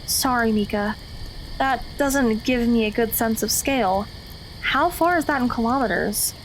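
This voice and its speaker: A woman's voice: fairly high pitch, measured pace, slight background noise, natural voice, rough recording. A woman acting in an audio drama as an anxious, talkative teenage girl who questions everything and stammers when startled. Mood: curious.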